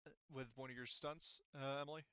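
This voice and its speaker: Clear-voiced man running a game, slightly muffled audio. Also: mid-range voice, fairly even intonation, sharp tone, no room sound. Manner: explanatory, halting, conversational. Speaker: game master. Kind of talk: casual tabletop game narration and rulings.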